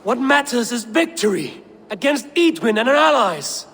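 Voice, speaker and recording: An actor playing a blunt, defiant, honor-bound Viking raider with a gruff, husky voice, in a dramatic video game. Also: male voice, extremely high pitch, big pitch swings, moderate pace, heavily acted. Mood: reproachful. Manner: blunt, forceful. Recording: long hall-like reverb.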